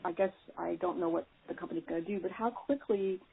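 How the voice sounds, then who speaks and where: A woman asking informal questions on a conference call in a tentative, roundabout way, with a husky, creaky voice. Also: on the low side, fast. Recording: muffled, band-limited conference call line.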